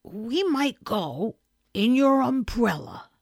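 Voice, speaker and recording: A modest, earnest little male toy bear from a children's storybook, acted out by a woman. He speaks slowly and hesitantly, as if each idea takes a while to arrive. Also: fairly high pitch, animated, dry recording, studio quality. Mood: thoughtful. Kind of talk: children's storybook character reading.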